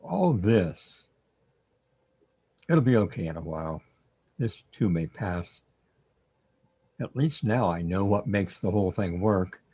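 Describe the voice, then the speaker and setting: A man's voice: low-pitched, lively intonation, takes it slowly, long pauses, dark tone, husky, highs cut off, full character voice. A man playing a bewildered, resigned hero trapped in the afterlife in a sci-fi radio drama.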